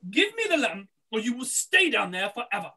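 A woman's voice: a little high, big pitch swings, a little fast, bright, forceful tone, breathy edge, heavily acted. A woman playing a scheming, impatient male pantomime villain in a theatrical, insistent read-through. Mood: impatient, demanding. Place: indoors at home, on a video call.

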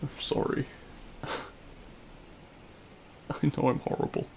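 A man playing a remorseful, self-loathing supervillain in an intimate audio roleplay, speaking in a halting, anguished way. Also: darkish tone, slight background noise, natural voice. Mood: tearful self-loathing. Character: remorseful male supervillain confessing love at a grave.

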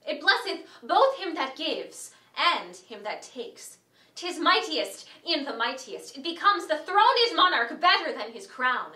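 A woman playing a solemn advocate pleading for mercy in a formal, measured classical stage monologue. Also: high-pitched, big pitch swings, bright, forceful tone, heavily acted. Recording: echoey room, distant microphone.

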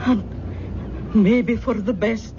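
A woman's voice: on the high side, slow pace. An actress playing a tender, pious, motherly woman in a 1970s radio drama. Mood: mournful, resigned. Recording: dull, noisy 1970s radio broadcast.

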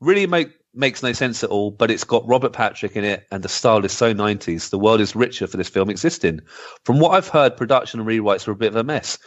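A man's voice: lowish voice, lively intonation, quick pace. A man chatting casually as a podcast co-host and reading out comments. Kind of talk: casual retro gaming podcast chat, reading out listener comments. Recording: dull, lo-fi voice recording.

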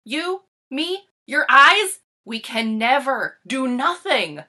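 An excitable storybook boy, played by a woman, bossy and full of schemes. The voice is bright and swings widely, with dramatic, emphatic declarations. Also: high-pitched, slow, pitched above the performer's own voice, full character voice. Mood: triumphant. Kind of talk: animated picture-book character reading.

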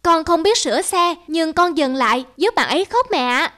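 A woman's voice: very high-pitched, animated, normal speed, bright, forceful tone, full character voice. A woman voicing a kind-hearted, innocent little schoolgirl in a cartoon, earnest and apologetic. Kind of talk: cartoon voice acting.

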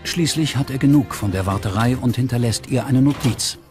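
A man's voice: medium pitch, brisk, a little mellow, soft background music. A man reading scripted true-crime documentary narration as the narrator.

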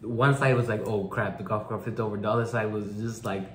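A man's voice: lowish voice, steady tone, fairly quick. A young man co-hosting a casual storytime chat, slangy and insistent, cutting in and repeating himself. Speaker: storytime vlog co-host. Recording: echoey room.

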